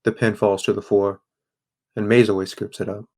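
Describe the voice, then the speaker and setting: A man narrating a first-person audio drama in a measured, understated way. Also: fairly low pitch, level tone, a little mellow.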